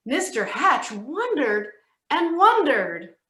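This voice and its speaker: A woman narrating a scripted storybook tale with deliberate, expressive emphasis. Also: high-pitched. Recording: echoey room.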